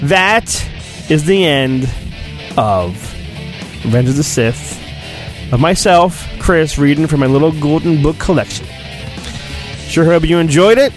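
A man hosting a podcast, giving a theatrical mock-dramatic reading of a storybook with drawn-out phrasing and goofy, rambling asides. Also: fairly high pitch, soft background music.

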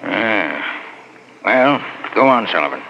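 A man's voice: lowish voice, expressive, takes it slowly, vocal fry, pushed and bright, full character voice. An actor playing a blunt, suspicious, incredulous lawman with an emphatic, questioning manner in a 1940s radio horror drama. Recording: dull old radio recording, faint noise.

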